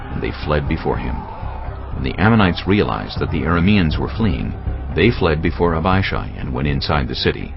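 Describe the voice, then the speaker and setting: A man narrating a dramatized audio Bible with measured, solemn storytelling delivery. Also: a bass voice, vocal fry, muffled recording. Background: background music.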